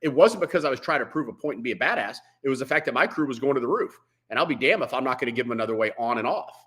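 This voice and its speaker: A man's voice: mid-range voice, lively intonation, rapid-fire, fairly bright tone. A man speaking as a veteran firefighter in a conversational interview, with a blunt, colloquial and emphatic manner. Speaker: veteran firefighter, podcast guest.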